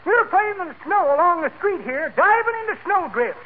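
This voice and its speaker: A man's voice: very high voice, very animated, a little fast, narrow, tinny audio. An actor playing a folksy, chipper old man who calls everyone kids, in a 1940s radio comedy. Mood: chipper, rambling. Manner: folksy, calls people kids, daughter and son. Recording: scratchy, very noisy 1940s radio recording.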